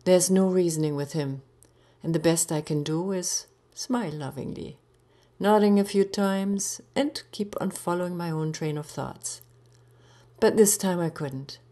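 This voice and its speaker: A woman reading her own short story aloud in a measured, expressive storytelling manner with deliberate pauses. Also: fairly low pitch. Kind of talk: literary short story narration.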